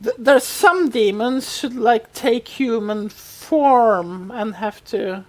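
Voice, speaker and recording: Higher-voiced woman chatting through a recap. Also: takes it slowly. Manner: animated retelling, acting out bits of dialogue. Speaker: podcast co-host. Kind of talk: casual TV recap chat.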